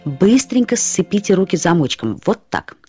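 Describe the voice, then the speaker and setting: Актриса изображает ветреную, хвастливую и самоироничную женщину, молодую душой, театрально и игриво, как в радиоспектакле. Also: female voice, lowish voice, very animated, normal speed, a little mellow. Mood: triumphant, teasing. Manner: theatrical, boastful, playfully emphatic. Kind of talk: radio drama character acting. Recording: studio radio play with faint music.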